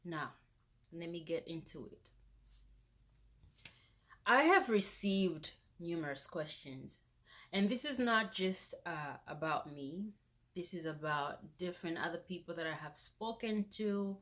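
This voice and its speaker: Slow-talking woman, bright edge. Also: on the low side. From Zambia. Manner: animated, indignant, rises sharply when exasperated. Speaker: vlogger. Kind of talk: casual ranting vlog.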